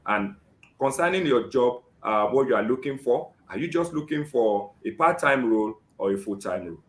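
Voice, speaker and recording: A Nigerian man hosting a live job seeker interview, often saying "great" and filling pauses with "um". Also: mid-range voice, animated, roomy sound. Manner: keeps saying "great" and fills with "um".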